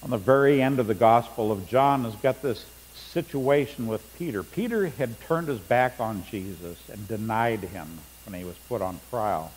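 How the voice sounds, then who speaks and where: A man's voice: on the low side, normal speed, hardly any pauses, a little mellow. A middle-aged male pastor preaching a sermon in a church sanctuary, reading scripture aloud with rising emphasis. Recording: long church reverb, faint hum.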